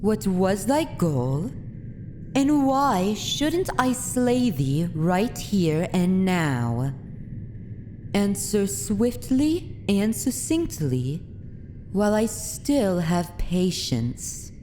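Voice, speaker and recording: A woman's voice: medium pitch, sing-song, drawn-out words, warm tone, slight background noise. A woman playing a haughty, dominant vampire noblewoman in an ASMR fantasy roleplay, with commanding, archaic formal speech. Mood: stern, threatening. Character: haughty, dominant aristocratic vampire mistress of a manor.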